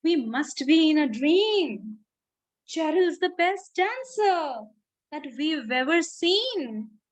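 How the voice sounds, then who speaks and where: Amazed picture-book animals one woman performing, high voice, slow wondering exclamations. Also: very animated, heavily acted. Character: astonished crowd of jungle animals, full of awe.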